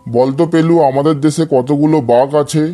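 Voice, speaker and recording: A man's voice: a little high, fairly even intonation, a little fast, over loud music, natural voice. A man playing an exasperated, scolding schoolteacher in a comedy cartoon. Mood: stern questioning. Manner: scolding, threatening, theatrical. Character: exasperated male village schoolteacher scolding cheeky pupils. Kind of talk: comedy cartoon dialogue in Bengali.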